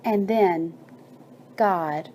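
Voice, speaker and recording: A woman narrating a bedtime story and guided meditation in a soothing, measured manner with drawn-out phrases. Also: lowish voice, dark tone, slight background noise.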